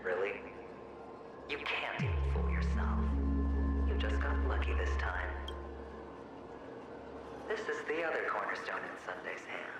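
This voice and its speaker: Heavy echo, sinister young male doppelganger, theatrical, mocking, lively swings in pitch, video game villain, loud music behind. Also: measured pace, long pauses. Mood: sly, taunting. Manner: theatrical, taunting. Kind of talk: dramatic video game voice acting.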